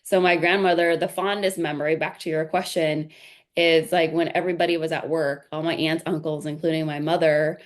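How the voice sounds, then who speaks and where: A woman's voice: sits low, level tone, brisk. A woman in her forties, a podcast guest, chatting casually and telling family stories with frequent "right?" tags. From United States. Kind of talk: casual interview chat.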